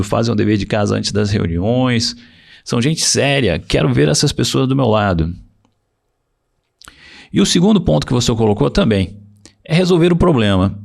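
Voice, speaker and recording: A man hosting a career podcast, talking in a measured, reflective way and summing up his guest's points. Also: mid-range voice, vocal fry. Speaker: podcast host.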